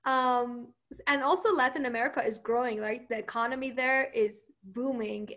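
A woman with a high voice, answering interview questions with confidence. Her first language is Persian, and she often laughs between points. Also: normal speed, a bit bright.

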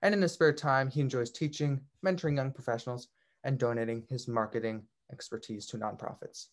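A man's voice: mid-range voice, fairly even intonation, a little fast. A man hosting, reading out a formal introduction of a guest speaker.

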